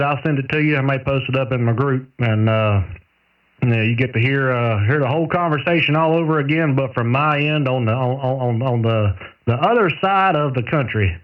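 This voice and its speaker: A man chatting casually as a radio operator, with folksy, rural phrasing. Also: mid-range voice. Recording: tinny narrowband radio transmission, far from mic.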